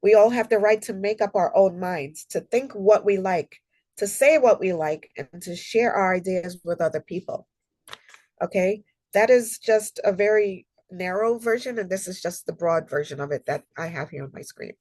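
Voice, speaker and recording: A woman's voice: mid-range voice, normal speed, a little mellow, no echo. A woman who is a human rights consultant gives an online library presentation while getting over a cold, which leaves her a little nasal. She talks earnestly and conversationally, with frequent ums and personal stories. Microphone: video call.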